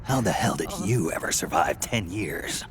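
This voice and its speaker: An actor playing a gruff, weary, prematurely aged soldier with a gravelly rasp and terse, deadpan delivery. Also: male voice, low-pitched, normal speed, crowd noise. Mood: scornful.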